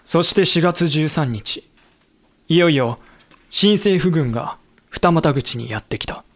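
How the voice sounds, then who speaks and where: An actor playing a thoughtful samurai official who narrates a war in measured, explanatory audio drama style. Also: male voice, a little high, expressive, fairly bright tone, slight background noise. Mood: measured narration. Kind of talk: scripted dramatic narration and acting.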